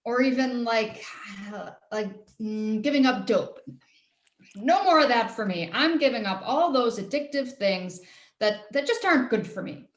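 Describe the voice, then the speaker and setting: Actress as a caring, pleading friend, highish voice, halting delivery, echoey room on a video call. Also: female voice, animated. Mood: exasperated, earnest. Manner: halting, searching for words, sighing. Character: earnest, caring woman pleading with a cynical friend. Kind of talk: stage-play reading over video call. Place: roomy space, live Zoom call. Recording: roomy echo on video call.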